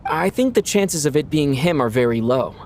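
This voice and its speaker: A man's voice: on the high side, animated, moderate pace, a little mellow, a little hiss or hum. An actor playing a pensive, cautious male investigator in a video game. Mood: pondering.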